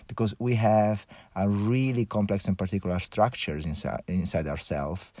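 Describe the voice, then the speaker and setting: A man with a low voice and an Italian accent speaks alone as a podcast host. He shares personal reflections in halting phrases, often restarting as he goes. The sound is dry, with very little room echo. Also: a little mellow. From Italy.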